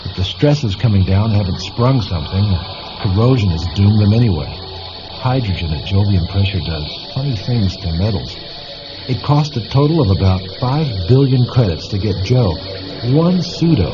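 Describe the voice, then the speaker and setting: A man playing a matter-of-fact space station chief in a science fiction radio drama, measured and explanatory with dry humor. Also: fairly low pitch, close to an everyday voice. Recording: muffled lo-fi audio with music behind.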